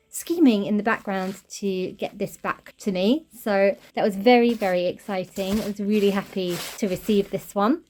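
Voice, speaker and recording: Chatty woman with a mellow, cold-worn voice. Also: medium pitch. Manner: chatty, enthusiastic, gushing over tiny details. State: slightly off voice while recovering from a cold. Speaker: toy collector channel host. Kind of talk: casual show-and-tell collection update.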